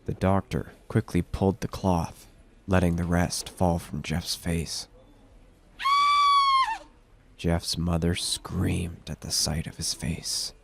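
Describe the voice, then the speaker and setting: A man's voice: low-pitched, little pitch movement, slow, vocal fry, pauses often, noisy background. A man giving scripted narration of a horror story as the narrator of an audio drama. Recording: music bed under narration.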